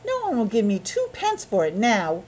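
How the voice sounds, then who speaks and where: A woman's voice: a little high, wildly swooping pitch, normal speed, darkish tone, husky, full character voice. Woman reading as a blustery, emphatic construction foreman. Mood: wailing, exasperated. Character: blustery male construction foreman, bossy, easily exasperated.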